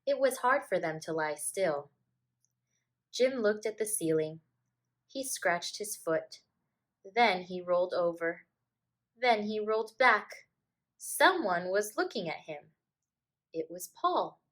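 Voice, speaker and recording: A woman reads a picture book to children as a teacher would. Her pace is slow and careful, and her pitch moves up and down with plenty of life. The delivery is expressive storytelling at an ordinary female pitch. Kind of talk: children's story read-aloud.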